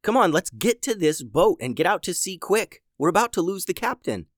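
Brief: man voicing a gruff, impatient storybook ninja, raised and scratchy, in a dry close recording. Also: high voice, sing-song, normal speed, dry recording, pitched above the performer's own voice, full character voice.

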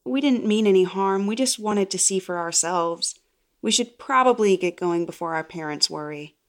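A woman's voice: medium pitch, normal speed, close to an everyday voice. A woman voicing a nervous, apologetic young girl in a horror audio story.

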